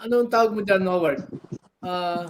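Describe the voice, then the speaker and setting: An older man with a Filipino accent reminiscing casually and sentimentally in halting phrases on a video call. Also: sits high. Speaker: guest, former radio personality. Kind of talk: casual reminiscing in a group chat. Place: indoors at home, on a video call. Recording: noisy video call with clicks.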